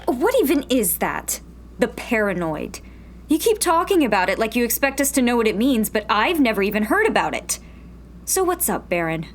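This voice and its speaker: A woman's voice: a little high, big pitch swings, normal speed, a little hiss or hum. A woman acting a blunt, challenging rebel in a sci-fi radio drama, pointed and insistent. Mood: confrontational.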